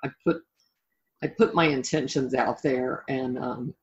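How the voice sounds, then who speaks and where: A woman's voice: rumbling low, fairly even intonation, unhurried. A middle-aged woman chatting informally and reflectively as an interview guest. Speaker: interview guest, author and life coach. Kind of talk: casual interview chat. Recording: dull lo-fi call audio.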